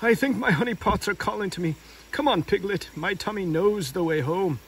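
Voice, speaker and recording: A man voicing a gentle, slow-witted, hungry bear from a storybook in a simple, musing way. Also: high-pitched, expressive, distant mic, a little hiss or hum, pitched above the performer's own voice.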